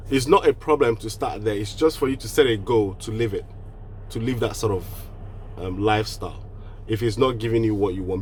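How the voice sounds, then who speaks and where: A man's voice: medium pitch, a little hiss or hum, rough recording. A man from Nigeria with a cold, giving earnest, casual advice on online business straight to the camera and often restarting his phrases. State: has a cold.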